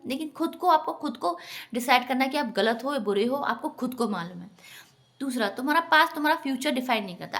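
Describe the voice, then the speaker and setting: A woman giving an earnest, instructive motivational talk directly to her viewers. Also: on the high side. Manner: earnest, instructive, often addresses listeners as friends. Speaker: self-help vlogger.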